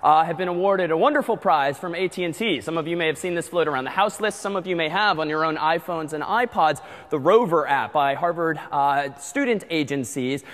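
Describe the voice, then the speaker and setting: A man's voice: a little high, fairly bright tone. A man lecturing in a large hall, giving chatty announcements with frequent ums and uhs. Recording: long hall reverb.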